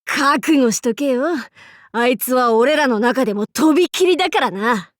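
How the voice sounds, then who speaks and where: A woman's voice: sits high, normal speed, dry recording, studio quality, lightly acted. A woman voicing an imperious, haughty demon-king warlord in a mobile game, speaking in pompous, archaic lordly Japanese phrasing. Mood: fierce, cocky.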